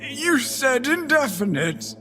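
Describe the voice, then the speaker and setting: Elderly wistful grandfather, very slow halting delivery, varied pitch, audio drama over prominent music. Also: sing-song, sharp tone, full character voice. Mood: grieving, yearning. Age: older. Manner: halting, emotional, slow speech.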